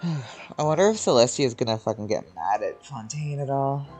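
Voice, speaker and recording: A man's voice: medium pitch, animated, moderate pace, darkish tone. A man streaming a game, reacting casually to the story as he plays along. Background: faint game music.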